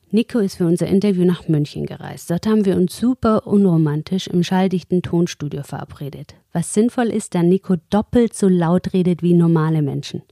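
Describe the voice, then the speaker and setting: A woman hosting a podcast, introducing her guest and interviewing him with playful, quick questions in a soundproof studio. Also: fairly low pitch, dark tone, dry recording. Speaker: interviewer, magazine reporter.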